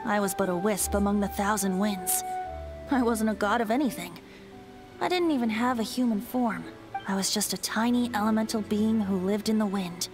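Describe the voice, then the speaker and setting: A woman's voice: mid-range voice, lightly acted. A woman playing a boyish, ancient wind spirit bard in a video game, a playful, lilting storyteller with a light voice. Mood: reminiscing. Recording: game music behind the voice.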